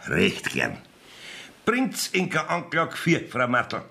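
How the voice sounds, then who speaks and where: An actor playing a blustering, evasive rustic village judge in a radio play, folksy and bossy, with a Bavarian accent. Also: male voice, mid-range voice, wildly swooping pitch, slow, vocal fry, bright, forceful tone, exaggerated voice. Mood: defiant, grumbling. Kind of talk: 1970s dialect radio play acting.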